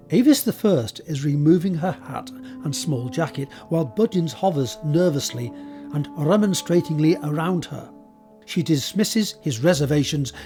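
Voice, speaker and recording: A man's voice: on the high side, mellow. A man reading scripted scene narration for an audio drama.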